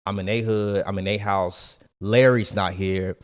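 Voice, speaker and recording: Low-voiced man telling stories casually. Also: steady tone, unhurried. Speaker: podcast co-host and storyteller. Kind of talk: casual conversational storytelling.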